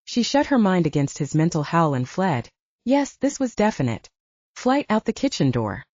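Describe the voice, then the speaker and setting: Mellow, low-voiced woman narrating fiction. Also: sing-song, moderate pace, no echo, muffled recording. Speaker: audiobook narrator.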